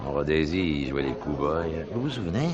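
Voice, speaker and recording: Deep voiced businessman in a french play, hesitant, surprised, people noise behind. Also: big pitch swings, brisk, muffled recording, crowd noise. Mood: musing, amused. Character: surprised, hesitant middle-class businessman, mildly sceptical. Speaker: actor in a stage play. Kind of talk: French theatre dialogue acting.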